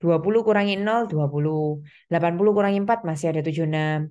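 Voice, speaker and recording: Mellow woman lecturer explaining step by step. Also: fairly low pitch, quick pace. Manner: instructional, step-by-step, with frequent reminders and check-in tags. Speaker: accounting lecturer. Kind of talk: explanatory online lecture, addressing students.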